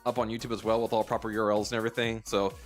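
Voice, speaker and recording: A man co-hosts a livestream in a quick, eager rush, his phrasing loose and casual. His voice sits a little low. Faint music plays underneath. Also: little pitch movement. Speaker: livestream co-host.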